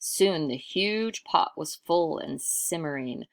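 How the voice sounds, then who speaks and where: Low, creaky woman storyteller. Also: lively intonation, slow pace. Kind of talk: expressive children's read-aloud narration.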